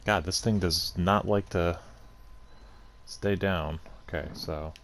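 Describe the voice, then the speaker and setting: Low-voiced man muttering through a game. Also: fairly even intonation, takes it slowly, a little hiss or hum. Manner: thinking aloud, muttering to himself. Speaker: gaming video host. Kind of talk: casual let's play commentary.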